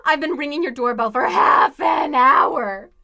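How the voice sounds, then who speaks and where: An actress playing a bossy, hot-tempered fiancée with a melodramatic manner in a radio comedy. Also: female voice, high-pitched, unhurried, bright, forceful tone, full character voice. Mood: indignant, scolding. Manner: scolding, melodramatic. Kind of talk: modern revival of old-time radio comedy drama acting.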